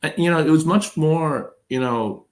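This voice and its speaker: A man's voice: on the high side, normal speed, background noise. A man who is a guest and political candidate, speaking thoughtfully and hesitantly in a podcast interview, with many fillers. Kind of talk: conversational interview.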